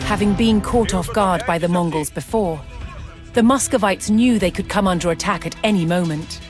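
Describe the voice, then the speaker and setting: Woman narrating history with measured drama. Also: on the low side. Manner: measured, dramatic storytelling delivery with deliberate pauses. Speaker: documentary-style game narrator. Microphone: studio voice-over microphone. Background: music.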